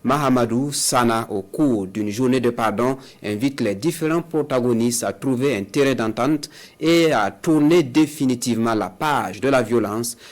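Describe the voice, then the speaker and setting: A man's voice: mid-range voice, normal speed. A man reading a scripted news introduction as an anchor.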